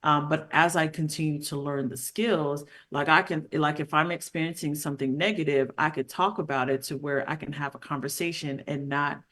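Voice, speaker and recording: Reflective woman facilitator raised in the US South, halting delivery, video call. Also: low voice, fairly even intonation, a bit bright. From Southern United States. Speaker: class facilitator. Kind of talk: casual class discussion.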